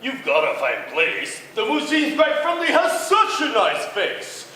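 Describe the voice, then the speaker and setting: A man's voice: very high-pitched, huge pitch swings, measured pace, pushed and bright, slight background noise, voice pushed up, exaggerated voice. A man voicing a small, polite male tree spider in a storybook, eager and delighted. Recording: echoey hall from far off.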